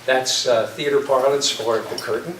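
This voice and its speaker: A man giving an informal, anecdotal introduction as a theater director, halting and pausing often. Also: medium pitch, fairly even intonation, a bit bright. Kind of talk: informal live introduction speech. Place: community center stage. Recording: echoey hall, distant mic, background noise.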